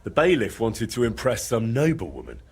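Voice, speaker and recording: An actor playing a practical young adventurer in a video game, speaking in a direct, wry way. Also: male voice, medium pitch, huge pitch swings, moderate pace, darkish tone, husky, heavily acted. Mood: friendly. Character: plain-spoken, practical young male adventurer. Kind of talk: naturalistic video game dialogue.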